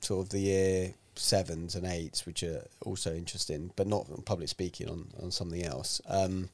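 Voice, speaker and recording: A chatty man in his forties, born in Greece, talks about coaching public speaking in an easy podcast conversation. His voice sits fairly low, and he walks through his ideas with worked examples. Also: steady tone, normal speed. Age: 40s. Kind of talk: casual podcast chat.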